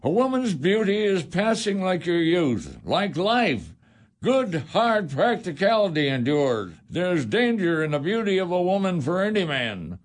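A man's voice: high-pitched, sing-song, unhurried. A man playing a scornful old puritan farmer. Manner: blustering, scolding, pompous. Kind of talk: community radio drama acting.

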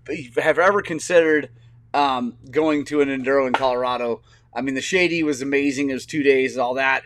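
A man in his forties, a podcast host telling stories in casual chat, animated and quick to laugh. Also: medium pitch, slight background noise. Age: 40s. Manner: animated storyteller, laughs often.

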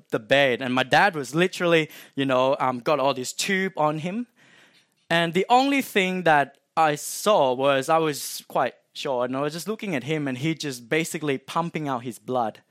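A young Thai man with a Thai accent gives a personal testimony to a gathered audience. His voice sits a touch higher than most men's, and it is recorded dry and close with no room around it. He talks loosely, stopping often on "um" and restarting his phrases. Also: a bit bright, no echo. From Thailand (Chiang Mai). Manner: chatty and informal, many "um"s and restarts, asks the audience questions. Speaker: guest speaker sharing testimony.

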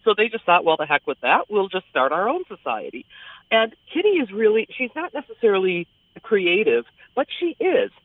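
A woman's voice: mid-range voice, very animated, normal speed, no room sound. A woman giving a chatty, enthusiastic, conversational radio review as a theater critic. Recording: thin phone-like sound, dry.

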